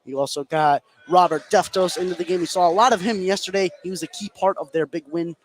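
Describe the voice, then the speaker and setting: A man's voice: high voice, fast talker. An American man giving live play-by-play radio commentary on a basketball game. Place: indoor high school gym during a game. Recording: clear background gym noise.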